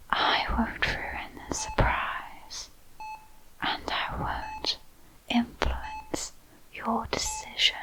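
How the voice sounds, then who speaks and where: A woman playing a clinical female vampire scientist in an ASMR roleplay, with a whispery, breathy voice and deliberate delivery. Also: very high-pitched, pushed and bright, heavily acted, low-quality recording. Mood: hushed, enticing. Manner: slow, soothing, deliberate. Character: calm, clinical female vampire scientist overseeing a sinister experiment.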